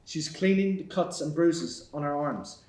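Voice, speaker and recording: A man's voice: a little high, measured pace. Man reading stage directions. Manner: even, matter-of-fact reading. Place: theatre stage. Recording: echoey hall.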